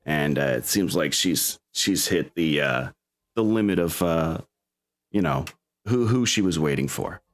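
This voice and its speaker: A man narrating a tabletop game as game master, with a halting, hesitant delivery. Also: sits low, animated.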